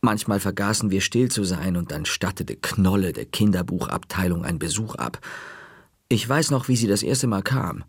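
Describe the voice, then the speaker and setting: A man narrating a children's story in a lively, expressive audiobook reading. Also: low-pitched, normal speed, husky, no echo, clean studio sound.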